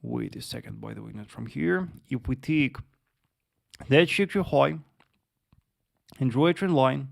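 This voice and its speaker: Gravelly man running a live trading stream. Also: medium pitch, unhurried, long pauses. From Russia. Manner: repeats words, addresses viewers as traders, many yes and uh fillers. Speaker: trader hosting a live stream. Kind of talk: live market analysis with chat Q&A.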